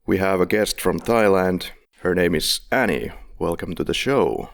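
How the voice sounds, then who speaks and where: A man's voice: deep voice, normal speed, creaky. A man hosting a casual film podcast, making playful, jokey introductions. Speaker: podcast host.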